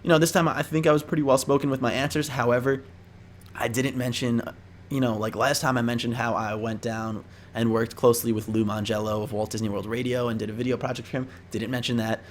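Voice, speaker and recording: A man's voice: medium pitch, steady tone, quick pace, a little hiss or hum. A young man chatting casually to viewers as he gives tips, in an informal, rambling, slangy way. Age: young adult. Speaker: vlogger giving interview tips. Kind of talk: casual chat to camera.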